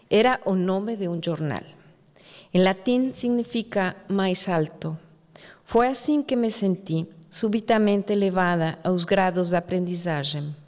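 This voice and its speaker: A woman's voice: medium pitch, a little mellow. Mexican woman speaking in a reverberant hall. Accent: Mexican Spanish. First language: Spanish. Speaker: writer giving a talk. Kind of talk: prepared talk at a literary event. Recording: long reverb of a large hall.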